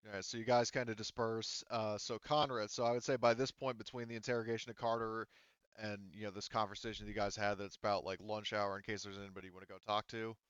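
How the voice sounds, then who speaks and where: A man's voice: lowish voice, flat delivery, quick pace, a bit bright, smooth, dry recording. A man improvising casual, loosely phrased narration as game master of a tabletop roleplaying game, on slightly dull audio.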